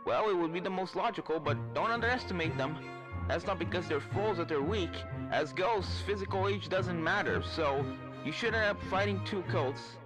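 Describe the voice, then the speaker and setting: A man's voice: sits high, moderate pace, lightly acted, low-quality recording. A man voicing a cautious advisor to a young ghost hunter, concerned and explanatory, in a story reading. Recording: clear music behind the voice.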